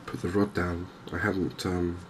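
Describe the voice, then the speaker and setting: A man softly narrating a game in ASMR style, hushed and hesitantly thinking aloud. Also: deep voice, level tone, unhurried. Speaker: gaming video host. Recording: noticeable background ambience noise. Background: game ambience.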